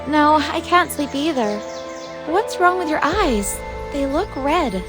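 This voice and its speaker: A woman's voice: sits high, lively intonation, slow, over music. A woman playing an earnest, trusting young female disciple in a fantasy game, speaking frankly and conversationally. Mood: gentle, concerned. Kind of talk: dubbed fantasy game cutscene acting.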